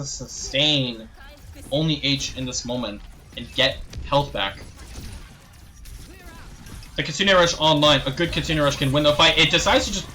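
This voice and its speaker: Young guy calling an esports match live, bright slightly high voice, a bit hoarse, keeps clearing his throat, faint hum behind. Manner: rapid play-by-play, rising excitement on big plays, frequent throat clearing. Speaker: esports caster. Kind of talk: live play-by-play commentary.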